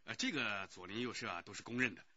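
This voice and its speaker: An actor playing a chatty, eager-to-help neighbourhood committee director in a Chinese radio drama, hesitant and full of interjections. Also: male voice, fairly low pitch, quick pace, sharp tone. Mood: gossipy, explanatory. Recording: dull, muffled radio drama recording.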